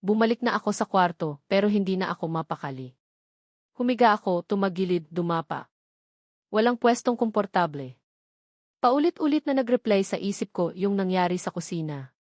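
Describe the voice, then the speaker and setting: A woman narrates a first-person romantic drama from a script, dramatically, in short halting phrases. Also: medium pitch, animated, darkish tone, no echo, clean studio sound. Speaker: story narrator.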